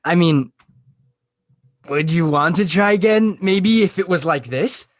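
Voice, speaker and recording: A man's voice: sits high, measured pace, dry recording, clean studio sound. A man playing an awkward, fragile, lovesick sixteen-year-old boy in a comedy script read. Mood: hopeful, awkward.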